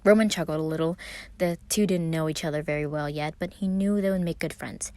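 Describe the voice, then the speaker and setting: A woman's voice: deep voice, steady tone, fairly quick, warm tone, no echo. A woman reading a scripted story aloud as its narrator. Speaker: fan fiction reader.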